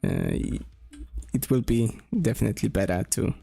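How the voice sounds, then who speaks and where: A man from Poland with a Polish accent, talking casually as he draws on a stream, slowly thinking aloud with pauses and restarts. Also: on the low side, huge pitch swings, vocal fry, warm tone, husky, soft background music. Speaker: streamer and game developer. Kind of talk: casual drawing stream talk.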